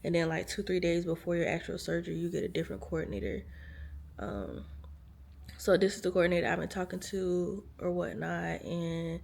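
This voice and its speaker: A woman's voice: on the low side, creaky, darkish tone. A tired woman, chatting casually to viewers from a hospital room. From United States. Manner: chatty, lots of fillers, speaks to viewers as y'all. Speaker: vlogger. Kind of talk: casual storytelling to camera.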